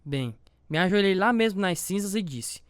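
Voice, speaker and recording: A man's voice: high voice, lively intonation, normal speed, clean tone, dry recording, clean studio sound. A man acting as an earnest former boxer turned evangelist, narrating his life story in a scripted radio drama. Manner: earnest, devout. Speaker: narrator and lead actor.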